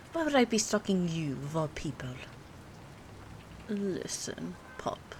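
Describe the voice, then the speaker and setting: A woman acting an aloof, teasing old vampire in an ASMR roleplay, speaking softly and formally with hesitant pauses, often near a whisper. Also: on the low side, heavily acted. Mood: defensive, haughty, then flustered. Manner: formal, hesitant, haughty phrasing with drawn-out pauses. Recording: clear background ambience noise. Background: rain, city ambience, soft music.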